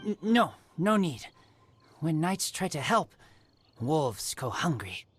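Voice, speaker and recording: A woman voicing a feral teenage boy raised by wolves in a video game, earnest and guarded, speaking in halting, terse broken sentences. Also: deep voice, big pitch swings, a little hiss or hum, heavily acted. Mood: reluctant. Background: game music.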